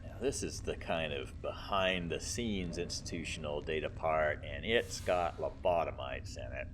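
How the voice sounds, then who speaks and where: A man's voice: rumbling low, unhurried. A man giving casual commentary as he plays a video game. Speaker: gamer, let's play host. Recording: faint game noise behind. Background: video game sounds.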